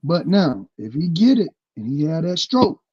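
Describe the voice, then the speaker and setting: Podcast co-host, male, high voice, husky and lively, animated banter, muffled recording. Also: normal speed. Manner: animated, reads listener letters and chat aloud, jokes.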